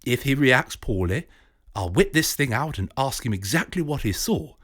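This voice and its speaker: A man's voice: mid-range voice, lively intonation, moderate pace, a bit bright. A man voicing a genial, scheming British professor with a jovial, clipped upper-class manner.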